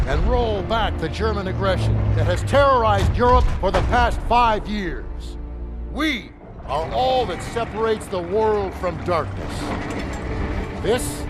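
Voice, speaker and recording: A grandiose colonel addresses his soldiers in a war game. His voice is pitched well up, declamatory and pompous, with clear music behind it. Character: grandiose army colonel rallying his men before battle. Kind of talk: military pep-talk speech.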